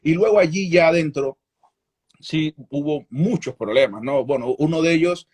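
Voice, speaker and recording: A middle-aged Cuban man with a Cuban accent, a journalist answering interview questions in a measured, candid way with frequent restarts. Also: a little high, darkish tone, husky. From Cuba. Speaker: journalist guest.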